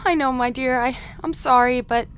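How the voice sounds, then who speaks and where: Woman acting a high-voiced, fretful mother. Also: moderate pace, slight background noise, close to an everyday voice. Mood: worried, pleading. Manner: fretful, pleading. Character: anxious, doting mother seeing her grown son off. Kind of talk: radio drama acting.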